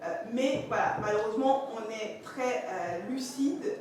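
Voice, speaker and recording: A woman's voice: on the high side, expressive, a little slow, distant mic, crowd noise. A woman whose first languages are Yoruba and Fon gives a conference talk, speaking hesitantly with many fillers and restarts. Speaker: speaker at a masterclass. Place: conference room. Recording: echoey hall, from afar, faint noise.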